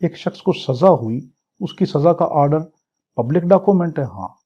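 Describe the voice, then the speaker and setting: A man's voice: a little high, expressive, normal speed, mellow, slightly rough, highs cut off. A Pakistani man lecturing for exam students in a didactic way, explaining clauses and posing questions that he answers himself. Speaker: law lecturer. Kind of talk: exam-prep lecture, reading English clauses and explaining them.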